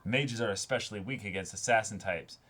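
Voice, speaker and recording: A man's voice: on the low side, fairly quick, a bit bright. A man co-hosting a comic read-along stream, reading dialogue aloud and reacting casually in a jokey, mock-dramatic, sweary way.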